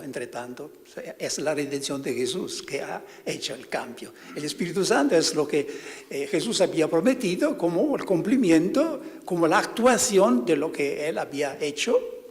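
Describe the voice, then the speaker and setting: A man, a priest, giving a spiritual talk to fellow priests, halting and often stopping to search for words. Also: a little high, expressive, in a large hall.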